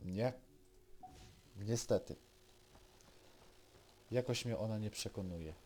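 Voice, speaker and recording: A man's voice: lowish voice, takes it slowly, dark tone, slight background noise. A Polish man giving casual commentary as he plays a video game. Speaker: gamer streaming a playthrough.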